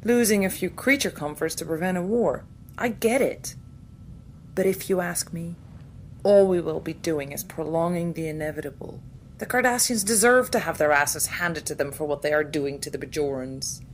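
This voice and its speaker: A woman's voice: animated, moderate pace. A woman playing a blunt, sarcastic starship doctor in a science fiction audio drama, talking in a dry, casual way. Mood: sarcastic, irritated. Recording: background noise with low rumble.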